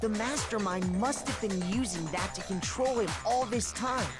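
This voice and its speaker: A woman voicing an earnest, insistent high school student sleuth, reading from a game script. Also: moderate pace, over loud music, natural voice.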